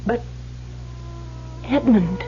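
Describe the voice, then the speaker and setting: An actress playing a nervous, hesitant young wife with secrets in an old-time radio drama. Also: female voice, fairly high pitch, very slow. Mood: torn, uneasy. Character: lovely young wife, anxious, torn, secretive. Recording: muffled old radio recording.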